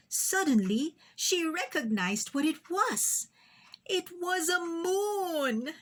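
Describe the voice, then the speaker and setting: A woman reading a children's picture book aloud in an expressive, deliberate storytelling manner. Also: high voice. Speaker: storybook reader.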